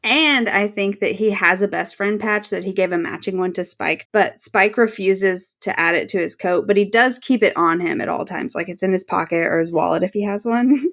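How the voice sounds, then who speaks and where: A woman talks as a guest in a casual fan podcast. Her voice sits at a medium pitch, and she is chatty and informal. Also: normal speed, hardly any pauses, fairly bright tone.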